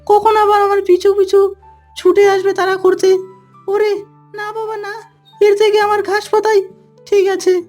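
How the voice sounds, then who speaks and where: A woman's voice: very high-pitched, flat delivery, measured pace, long pauses, darkish tone, faint music behind. A woman playing a timid, cautious little rabbit in a cartoon, speaking in a hesitant way. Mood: fearful. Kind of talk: cartoon story voice acting.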